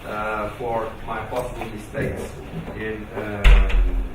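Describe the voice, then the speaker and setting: A man's voice: on the low side, steady tone, slow, heavy background noise. A man from Portugal with a Portuguese accent gives formal opening remarks at a conference, with many fillers and restarts. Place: large conference hall. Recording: echoey hall, mic from a distance.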